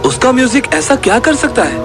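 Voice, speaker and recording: A man's voice: very high-pitched, big pitch swings, fairly quick, music in the background, full character voice. A man playing an anxious young son among friends in an audio horror drama. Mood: curious.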